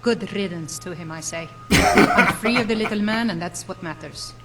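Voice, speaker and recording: A woman's voice: on the low side, normal speed, very noisy, close to an everyday voice. A woman playing a blunt, world-weary, guarded female warrior in a video game. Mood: bitter relief.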